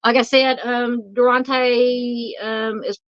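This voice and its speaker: A Southern woman hosting a late-night true crime livestream, her voice tiring and a bit hoarse. She talks in halting bursts full of "um" and "uh" while politely answering viewers. The sound is dry and slightly dull, like a basic home setup. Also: fairly high pitch, level tone, no room sound. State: losing her voice. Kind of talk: casual livestream chat reading viewer comments.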